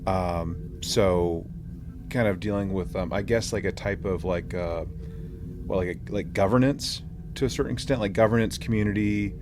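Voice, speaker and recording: A man co-hosting a casual podcast discussion, explaining things in a halting, tentative way. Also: deep voice, background noise. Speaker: podcast co-host.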